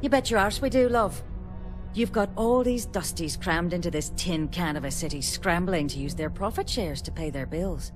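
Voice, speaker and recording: An actress playing a sly, brash fixer for a space trading company in a video game, with a British accent and a cheeky, blunt, knowing manner. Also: female voice, fairly low pitch, moderate pace, over music, natural voice. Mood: sly, confiding.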